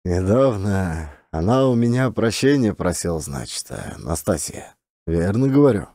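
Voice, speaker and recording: A man's voice: deep voice, sing-song, moderate pace, mellow, no room sound, clean studio sound, voice pushed down. A man voicing a small, meek, long-suffering peasant forester with mild, resigned, folksy rural speech in an audiobook.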